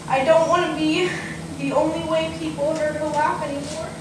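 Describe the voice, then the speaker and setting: A woman's voice: high voice, flat delivery, normal speed. A young woman reading a prepared, heartfelt eulogy to a gathering, earnest with touches of dry humour. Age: young adult. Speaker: niece giving a memorial tribute. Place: indoor memorial gathering at an acting studio. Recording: very echoey, distant, noisy room recording.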